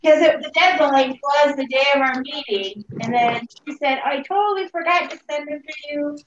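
Echoey, higher-voiced woman reporting. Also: normal speed, noisy background. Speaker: board member reporting. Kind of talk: informal meeting report. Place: echoey indoor room on video call. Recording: echoey, muffled video call audio.

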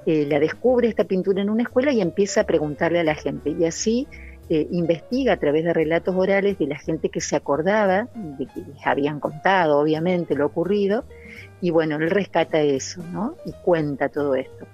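Lady, pretty low voice, lots of "eh" pauses, dull lo-fi call audio. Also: soft background music. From Santa Fe, Argentina. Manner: earnest, with many hesitant "eh" fillers. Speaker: novelist guest. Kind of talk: interview over a call.

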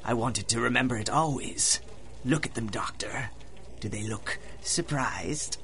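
An actor playing a vengeful masked supervillain in a radio drama, coldly gloating with menacing, mock-polite manners. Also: male voice, medium pitch, unhurried, bright, forceful tone. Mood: gloating menace. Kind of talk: 1930s-style radio drama acting.

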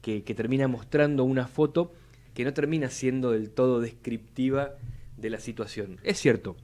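A young man with a Buenos Aires accent talks policy at a studio microphone. His speech is formal and measured, with frequent restarts as he builds long sentences. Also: mid-range voice, darkish tone. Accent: Buenos Aires Spanish. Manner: formal, repeats words while building long arguments. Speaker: city legislator, guest. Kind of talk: measured policy talk on live radio. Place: radio studio. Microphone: studio mic on boom arm.

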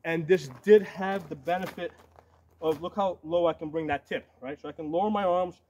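A man's voice: on the high side, normal speed, darkish tone. A man in his forties giving a casual, hands-on demonstration to camera outdoors, explaining step by step and often checking in with "right?" or "okay?". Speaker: medieval combat fighter demonstrating shields. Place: outdoors on a gravel yard by woods. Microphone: camera a few metres away. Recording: noisy outdoor camera audio.

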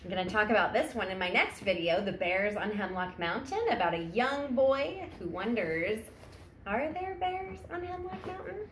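An echoey indoor phone recording with faint background noise carries a woman in her thirties. She speaks at a middling pitch in a casual presenting style, like a teacher addressing students. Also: sing-song, normal speed. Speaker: teacher introducing a children's summer reading challenge. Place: indoor room at home, sitting on a wooden floor against a wall. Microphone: phone camera a short distance away.

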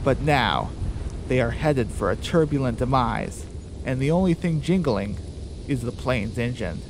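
Slow-paced male storyteller over rumbling noise. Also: lively intonation. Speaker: narrator. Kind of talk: scripted radio drama narration.

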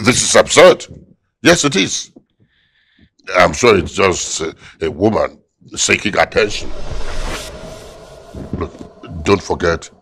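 A man's voice: sits low, vocal fry, a bit bright, breathy edge, exaggerated voice. An actor playing a dismissive, pompous wealthy father, middle-aged.

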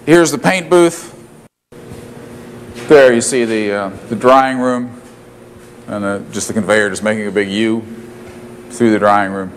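A man's voice: lowish voice, a little slow, pauses often. Man guiding a noisy factory tour. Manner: plain explanatory, with frequent fillers and restarts. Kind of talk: walking tour narration. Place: loud factory floor. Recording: factory noise behind voice.

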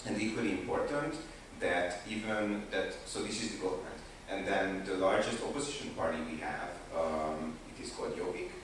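A young man with a Hungarian accent speaking on a conference panel, slow and deliberate, with many hesitations and restarts. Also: low voice. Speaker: panelist, activist. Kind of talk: panel discussion talk. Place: large indoor conference room, seated on a sofa panel. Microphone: handheld mic, recorded from a far camera. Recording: echoey hall from far off with background noise.

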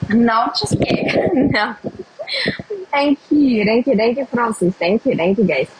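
A young woman from Russia with a Russian accent, hosting a casual livestream while eating, speaking simple English slowly with many pauses and hums. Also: a little high, lively intonation, slight background noise, low-quality recording. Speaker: livestream host. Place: indoors at a table by a window.

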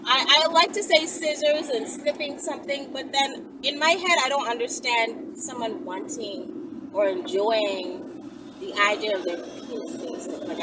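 Woman chatting candidly over loud car noise. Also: a little high. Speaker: vlogger. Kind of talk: casual candid solo chat to camera. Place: inside a moving car. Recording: distant mic, loud vehicle road noise. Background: loud vehicle noise.

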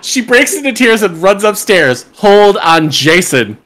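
A woman's voice: mid-range voice, sing-song, a little slow, fairly bright tone, a little hiss or hum. A woman co-host reading stage directions in an informal table read, tossing in irreverent, sweary asides. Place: home rooms on a group video call.

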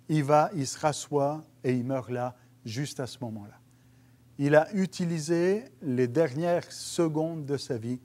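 A man's voice: a little high, darkish tone. A man, a pastor giving an earnest video teaching for home groups, often restarting his sentences, recorded on a lapel mic on a small studio stage. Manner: earnest, hesitant with many restarts and fillers. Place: studio stage with dark curtain.

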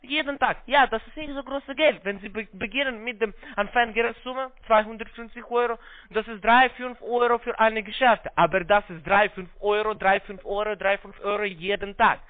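A man with a foreign accent giving a halting, repetitive, polite phone sales pitch in broken, non-native German. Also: fairly even intonation, no echo. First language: not German. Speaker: call center investment salesman on a scam call. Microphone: phone call. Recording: narrow-band phone line sound.

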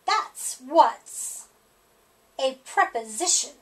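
A middle-aged woman reads a picture book aloud at storytime. Her voice sits high and swings widely in pitch. She takes her time, leaning on the rhymes in a sing-song way. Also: expressive, extremely slow, slight background noise. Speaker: storytime reader.

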